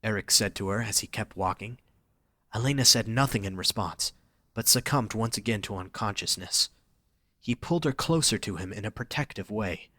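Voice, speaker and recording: A man's voice: lowish voice, sharp tone, slightly rough, no room sound. A man narrating a scripted romantic story for an audio drama, reading dramatically.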